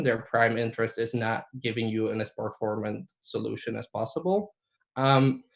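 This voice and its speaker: Belgian man giving a casual tech talk. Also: mid-range voice. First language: Dutch. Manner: frequent ums and likes, casual. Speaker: conference speaker. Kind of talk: conference talk.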